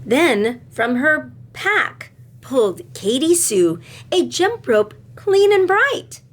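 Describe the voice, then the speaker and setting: A woman teacher reading a picture book aloud expressively and explaining it to children, with deliberate phrasing and questions. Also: high-pitched, sing-song.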